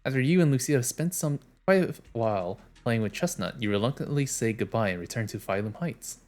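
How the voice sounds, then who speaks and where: A man's voice: medium pitch, expressive, fairly quick, darkish tone. A man streaming a game, reading its dialogue aloud in a casual, plain way, with small restarts and asides. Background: game music.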